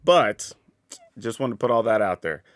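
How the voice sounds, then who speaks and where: A man's voice: lowish voice, lively intonation, moderate pace, no room sound, studio quality. Congested laid-back guy streaming. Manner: easygoing, chatty, thinks aloud. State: congested from a sinus cold. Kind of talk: casual live-stream chat while playing.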